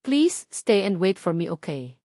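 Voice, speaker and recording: A woman's voice: on the low side, big pitch swings, measured pace, dark tone, dry recording, studio quality. A woman with a Filipino accent voicing a tender, devoted husband in an audiobook romance, speaking earnestly. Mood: gentle, reassuring.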